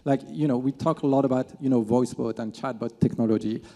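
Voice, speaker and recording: A man's voice: mid-range voice, fairly quick, mellow. Man with a strong French accent. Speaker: panelist. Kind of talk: conference panel discussion. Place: conference stage with audience. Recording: reverberant event hall.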